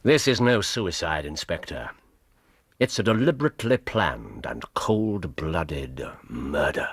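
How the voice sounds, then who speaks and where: An actor playing a keen, self-assured Victorian detective with an English accent and a precise, theatrical manner in a radio drama. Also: male voice, low voice, sing-song, unhurried, fairly bright tone, breathy edge, heavily acted. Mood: grave, probing. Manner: precise, theatrical, teasing deductions.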